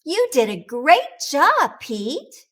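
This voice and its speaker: A woman voicing a warm, encouraging mother cat in a children's storybook, gentle and approving. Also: high-pitched, huge pitch swings, takes it slowly, pitched above the performer's own voice, heavily acted.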